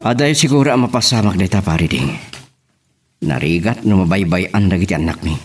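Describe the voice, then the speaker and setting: A man acts out an anxious husband left at home with the kids. His voice is on the low side, with big swings in pitch. He speaks hesitantly and conversationally, as in an amateur audio drama. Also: animated, fairly quick, breathy edge. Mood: somber.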